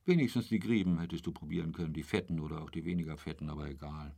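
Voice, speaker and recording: An actor in a radio drama playing a coarse, self-satisfied, chatty glutton, with a husky voice and a drawling, muttering delivery that slips into whispers. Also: male voice, extremely low pitch, very fast, warm tone, heavily acted. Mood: smug, chatty.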